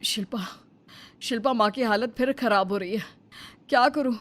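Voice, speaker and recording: Woman voicing an earnest, soft-willed young husband. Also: on the high side, normal speed, lightly acted. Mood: panicked. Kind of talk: Hindi moral-story voice acting.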